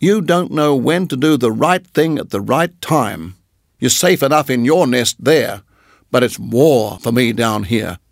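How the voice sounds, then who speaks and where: Man doing a fierce little mongoose, brisk, impatient, gravelly tone, dry close sound, storybook reading. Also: medium pitch, expressive, no room sound. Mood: urgent, impatient. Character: brave young male mongoose, impatient and fierce.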